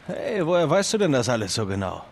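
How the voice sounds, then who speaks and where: Game role: wisecracking male adventurer, mid-range voice, very animated intonation, wry and sarcastic. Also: mid-range voice, fast, vocal fry, slight background noise. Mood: puzzled banter. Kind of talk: cinematic video game voice acting, German dub.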